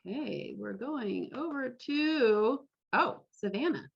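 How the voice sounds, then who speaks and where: A woman's voice: on the high side, animated, takes it slowly, creaky, husky. Woman hosting casually over a dull video call. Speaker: host of an online fan club meeting. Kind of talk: casual chat, introducing guests and reacting.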